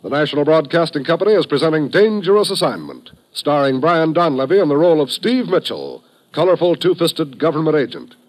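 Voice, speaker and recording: A man's voice: fairly high pitch, very animated, fairly quick, low-quality recording. A man with an American accent reading scripted announcements in a polished, declamatory 1950s radio style.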